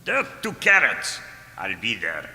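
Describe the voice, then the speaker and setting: An actor playing a zealous, fawning vegetable general in a satirical radio play. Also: male voice, lowish voice, huge pitch swings, measured pace, pushed and bright, full character voice. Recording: reverberant, very noisy.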